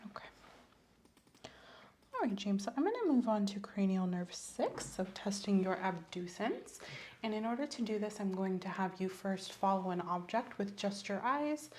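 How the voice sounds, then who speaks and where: A woman doing an ASMR medical exam roleplay as a doctor, speaking softly and sometimes breathily, gently instructing and reassuring. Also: mid-range voice, expressive, normal speed, a little hiss or hum. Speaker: ASMR creator playing a doctor.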